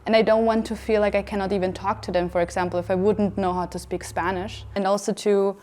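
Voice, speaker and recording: A young woman from Austria, a talk show guest who tells personal stories in an animated way. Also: medium pitch, fast talker. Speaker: panel guest. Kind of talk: studio panel talk.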